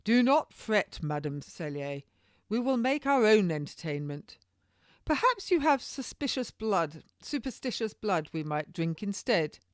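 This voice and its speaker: A woman plays a menacing male official in a historical audiobook. He is mocking and accusatory, pushing his formal, old-fashioned words out higher and louder, in a dry, close recording. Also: sing-song, unhurried, no echo. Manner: formal, accusatory, archaic speech.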